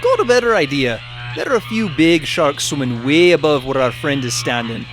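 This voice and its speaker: A man playing a wry, grumbling mechanical inventor hero in an animated fan dub. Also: on the high side, moderate pace, music in the background. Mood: sly.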